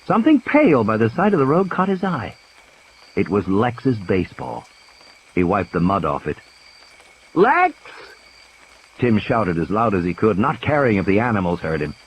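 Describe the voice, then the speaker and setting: Animated man narrating a suspenseful story. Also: fairly low pitch, moderate pace, slight background noise, rough recording. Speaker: audiobook narrator. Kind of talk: dramatic scripted story narration. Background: cricket-like night ambience sound effects.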